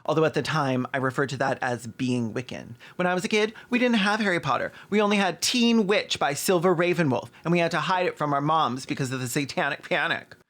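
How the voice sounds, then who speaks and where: A man's voice: fairly high pitch, a little fast, hardly any pauses, fairly bright tone. A man with a Canadian accent delivering scripted comedic commentary in a sardonic, theatrical way. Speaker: video essay host.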